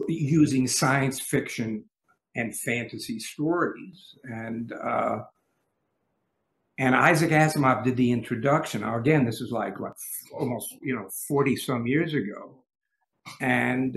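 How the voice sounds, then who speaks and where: Older male panelist, hesitant and reflective, mid pitch, echoey home room. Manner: halting, many restarts. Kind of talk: video call panel discussion. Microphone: video call.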